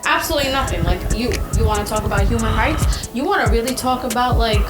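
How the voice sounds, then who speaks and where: Woman from Egypt, fairly high voice, passionate and rambling podcast guest, music underneath. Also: quick pace. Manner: passionate, rambling, many "like" and "right?". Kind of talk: casual podcast conversation.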